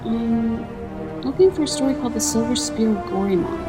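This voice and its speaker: A woman's voice: a little high, normal speed, loud music behind the voice, lightly acted. A woman playing a plain-spoken young female adventurer, brief and matter-of-fact, in a fan-dubbed adventure game. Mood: polite.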